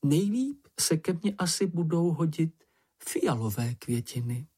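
A storybook reader voicing a practical, sweet, slightly vain young female creature with a brisk, ladylike manner. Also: mid-range voice, expressive, mellow, breathy edge, voice pushed up. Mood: composed.

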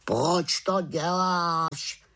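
A woman voicing a grave male talking raven in a storybook, croaky, drawn-out and reproachful. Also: fairly low pitch, fairly bright tone, voice pushed up. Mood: croaking, surprised.

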